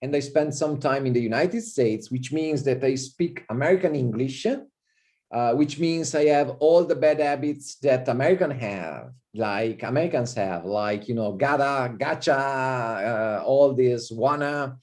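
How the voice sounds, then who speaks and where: A man's voice: medium pitch, unhurried. Italian-accented man lecturing over video call. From Italy. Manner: relaxed, with frequent fillers and repeated "okay". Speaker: visiting professor. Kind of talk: online university lecture. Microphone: computer mic on a video call.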